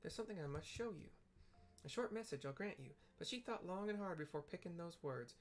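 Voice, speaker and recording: A player voicing a brisk, motherly guild mistress, reading her game lines aloud in a folksy, chatty way. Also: medium pitch, lively intonation, lightly acted.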